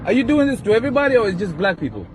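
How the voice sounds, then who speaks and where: Man, foreign accent, high pitch, indignant, challenging, outdoor video, light traffic noise. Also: very animated, very fast. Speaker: rideshare driver. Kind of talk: confrontational argument caught on phone video. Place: outdoor parking lot. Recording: noisy outdoor street, vehicle sounds.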